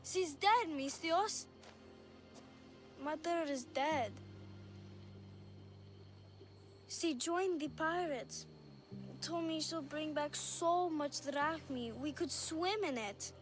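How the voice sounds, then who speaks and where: High-voiced innocent little girl. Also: takes it slowly, soft background music. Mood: upset, sad. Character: innocent, lonely little girl, earnest and playful. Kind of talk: video game cutscene acting.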